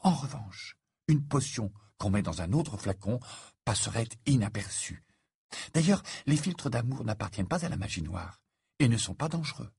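A man voicing a bossy, exasperated, sharp-witted teenage schoolgirl in a storybook, with a cool lecturing manner. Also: mid-range voice, expressive, normal speed, gravelly, slightly rough, dry recording, full character voice.

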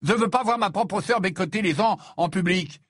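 Man acting out an indignant teenage boy, audiobook character, raised pitch, husky edge, blustering, hot-tempered, protective brother. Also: normal speed, fairly bright tone, dry recording, clean studio sound.

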